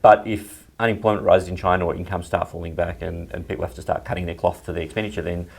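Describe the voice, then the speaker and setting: A deep-voiced Australian man talks quickly, with a lot of creak in his voice. He gives unscripted economic analysis as an interview guest, hedging as he explains. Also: steady tone.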